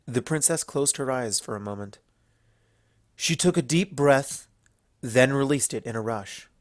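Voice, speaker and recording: A man's voice: mid-range voice, measured pace, no room sound, clean studio sound. A man reading scripted story narration as the narrator.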